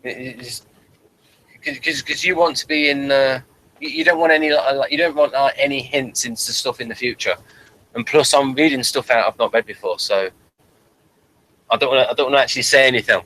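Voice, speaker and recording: A man with a bright, forceful voice of middling pitch talks through a review as co-host. His delivery is casual and unscripted. He often stumbles, repeats words and leaves thoughts unfinished. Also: slight background noise, rough recording. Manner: halting, repeats words and trails off mid-thought. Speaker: podcast co-host reviewing a TV series.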